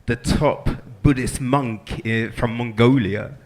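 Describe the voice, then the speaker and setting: A man answers questions on a live comedy stage. He speaks haltingly, with pauses and restarts, at an everyday middle pitch. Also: fairly even intonation, darkish tone. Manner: hesitant, halting.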